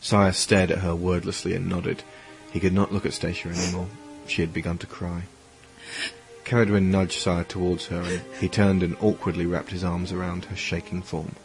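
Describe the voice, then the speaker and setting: A man's voice: deep voice, flat delivery, moderate pace, poor audio. A man reading scripted third-person story narration for an audio drama. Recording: music under the voice.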